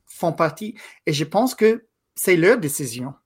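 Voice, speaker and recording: A man in his thirties, a native English speaker from Quebec, giving a guest interview in a thoughtful, halting way. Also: on the high side, very animated, breathy edge. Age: 30s. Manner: thoughtful, halting, with frequent pauses and restarts. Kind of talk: casual interview conversation.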